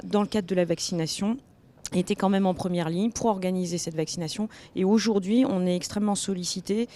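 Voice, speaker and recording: A woman's voice: fairly low pitch, dark tone. Woman explaining, with hesitant phrasing. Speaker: elected official, vice-president of a local authority. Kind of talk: panel interview, explanatory answers. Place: TV set at a convention.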